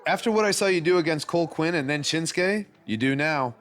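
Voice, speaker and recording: A man's voice: a little high, a little fast, a bit bright, close to an everyday voice. A man playing a bossy wrestling show manager in a video game cutscene, commanding and curt.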